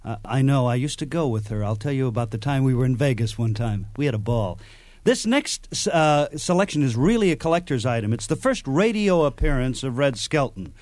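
An older male radio host introduces vintage recordings in a casual way. His voice is mid-pitched and recorded dry, with no room sound. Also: lively intonation, brisk, a little mellow, no room sound. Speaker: old-time radio show host.

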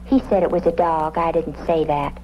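An actress playing an airy, whimsical hippie florist in a comedic radio police drama, speaking in flowery flourishes. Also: female voice, low voice, little pitch movement, normal speed. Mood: airy, insistent. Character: airy, dreamy hippie flower-shop woman with theatrical flourishes. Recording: muffled, noisy old radio recording.

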